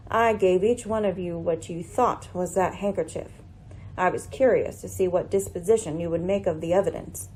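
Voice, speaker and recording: A woman playing a composed, incisive lady detective in a radio mystery, speaking in a deliberate, formal, commanding way. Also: medium pitch, darkish tone, breathy edge, low-quality recording. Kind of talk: radio mystery drama acting.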